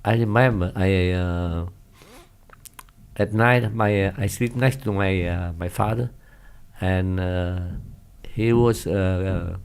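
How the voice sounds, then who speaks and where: A man in his seventies with a Vietnamese accent, a non-native speaker recalling his past in an interview, halting often with many hesitations and loose grammar. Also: sits low, creaky, a little mellow, clear, resonant tone. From northern Vietnam. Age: 70s. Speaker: guest, former pilot. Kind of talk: casual interview recollection.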